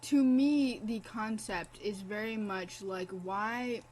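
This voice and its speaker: A woman's voice: mid-range voice, takes it slowly, a little mellow, a little hiss or hum. A young woman streaming a game in casual commentary, blunt, profane and impassioned as she talks.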